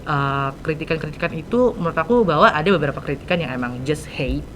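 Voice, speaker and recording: A woman's voice: animated, fast. A teenage young woman with a Jakarta Indonesian accent, hosting a casual podcast chat in informal youth slang. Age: teens. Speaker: podcast host. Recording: rumbly background noise.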